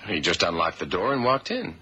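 An actor playing a terse, matter-of-fact FBI sidekick in a radio drama. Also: male voice, deep voice, sing-song, a little fast, bright, forceful tone, breathy edge, exaggerated voice. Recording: 1970s radio drama, faint noise.

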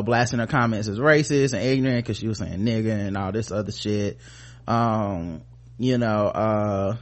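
An American man co-hosting a podcast, casually talking through the news and reading bits of articles in a halting, informal way. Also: lowish voice. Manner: informal, halting, often trailing off mid-thought. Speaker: podcast co-host.

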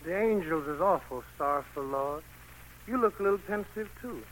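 An actor playing a deferential, concerned, folksy angel in a 1940s radio drama. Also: male voice, a little high, lively intonation, normal speed, fairly bright tone. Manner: respectful, folksy. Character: Gabriel, deferential, concerned male angel attending the Lord. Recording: noisy vintage 1940s radio broadcast.

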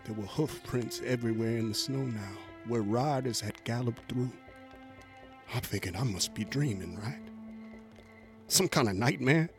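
A man acting a rough-spoken frontier cavalryman in a radio drama, haunted by what he has been through. His voice sits at a middling pitch. He tells his story haltingly, with homespun, ungrammatical phrasing, over faint music. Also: lightly acted. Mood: haunted, grieving. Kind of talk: dramatic first-person monologue, radio drama.

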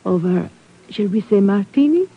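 An actress playing a sly, worldly, flirtatious secretary with a coy, languid manner in a 1950s radio drama. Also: female voice, medium pitch, expressive, moderate pace, slightly rough. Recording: muffled old radio recording.

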